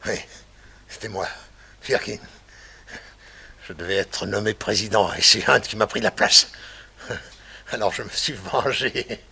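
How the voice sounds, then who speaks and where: An older man playing a mad, vengeful murderer who gloats theatrically, with a gravelly, husky voice, in a 1960s French radio crime drama. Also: on the low side, unhurried, long pauses, sharp tone, exaggerated voice. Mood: bitter gloating.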